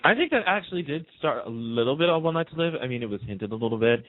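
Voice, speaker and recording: A man's voice: mid-range voice, expressive, quick pace, no room sound. A man speaking as a guest on a radio show, chatting casually over a muffled phone line. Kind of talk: casual chat. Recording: muffled narrowband telephone line.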